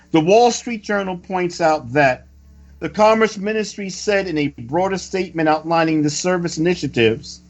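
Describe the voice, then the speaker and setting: A man reading a scripted news report with preacherly commentary, in a deliberate, emphatic and solemn way. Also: on the high side. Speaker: religious report reader and commentator. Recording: dull lo-fi audio with music. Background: background music.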